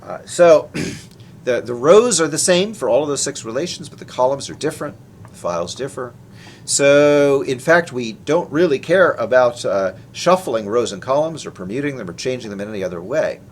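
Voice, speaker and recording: A man's voice: medium pitch, lively intonation, moderate pace, a little hiss or hum. A man presenting research in a technical lecture, explaining in an informal, conversational way. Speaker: researcher presenting his work.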